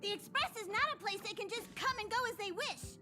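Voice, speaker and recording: Tiny stern creature conductor for a game: extremely high, squeaky, piercing, swooping delivery. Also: female voice, lively intonation, brisk, bright, forceful tone, soft background music, full character voice. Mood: indignant. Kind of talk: video game voice acting.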